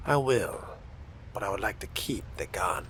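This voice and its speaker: A man's voice: deep voice, very animated, moderate pace, background noise. A man role-playing a video game in character, speaking in a formal, theatrical manner. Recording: loud music behind the voice. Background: loud music.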